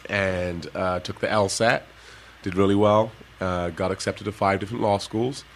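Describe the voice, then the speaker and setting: Low-voiced guy telling kids about his life, easygoing, lots of laughs, bit of hiss. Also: normal speed. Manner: relaxed storytelling, laughs often. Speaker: guest, former pro basketball player. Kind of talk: casual interview talk to kids.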